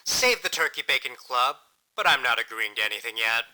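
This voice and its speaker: An actor playing a sardonic, defiant, battered man in an audio drama, with blunt deadpan delivery. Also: mid-range voice, a little fast, poor audio. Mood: challenging, blunt.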